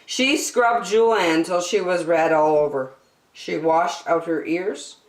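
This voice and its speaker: A woman reading a picture book aloud to children, expressive and deliberate in her emphasis. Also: fairly low pitch, recorded from a distance. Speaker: children's story-time reader. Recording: echoey, roomy sound.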